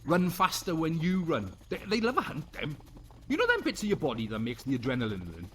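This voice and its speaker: A man's voice: a little high, wildly swooping pitch, brisk, a bit bright. A British man playing a dim, cheerful cyborg research officer who rambles earnestly and gets confused in a sci-fi comedy audio drama. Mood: cheerfully clueless. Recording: faint background noise.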